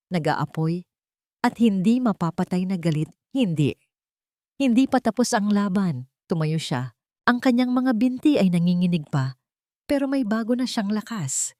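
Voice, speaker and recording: A woman's voice: fairly low pitch, a little mellow, dry recording. A woman narrating a scripted drama as storyteller, solemn and emphatic, in short dramatic phrases.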